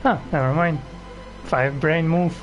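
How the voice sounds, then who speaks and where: A man's voice: on the high side, steady tone, unhurried, lo-fi sound. A man giving casual gameplay commentary as a streamer, blunt, sweary and self-mocking. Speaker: gaming streamer. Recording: game music behind the voice.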